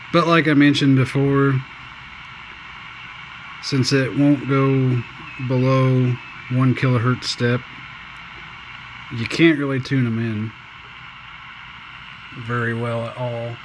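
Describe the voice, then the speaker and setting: A man speaks at a slow, unhurried pace in a medium-pitched voice, with clear noise in the recording. He is plainspoken and folksy, phrasing things haltingly as he reviews gear casually. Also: level tone, poor audio. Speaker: amateur radio hobbyist reviewer. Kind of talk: casual hands-on product review. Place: indoors.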